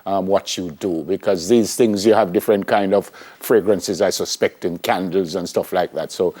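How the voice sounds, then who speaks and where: Jamaican guy hosting morning tv, chatty and jokey, lowish voice. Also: fairly even intonation, moderate pace, darkish tone. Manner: chatty, jovial. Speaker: morning show host. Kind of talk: TV interview.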